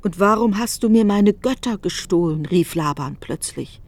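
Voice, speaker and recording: A woman narrating a literary radio drama with articulate, expressive delivery and deliberate emphasis. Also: mid-range voice.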